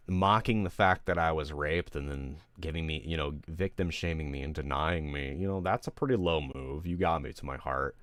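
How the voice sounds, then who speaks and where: Deep-voiced guy streaming, rambling and swearing, real emotional, dry sound. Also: dry recording. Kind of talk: candid venting on a livestream.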